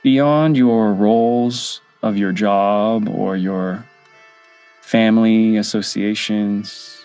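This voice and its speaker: A man guiding a meditation, speaking in short measured phrases with pauses between them. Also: on the low side, fairly even intonation, a little mellow, clear, resonant tone. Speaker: meditation guide. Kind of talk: guided meditation. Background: background music.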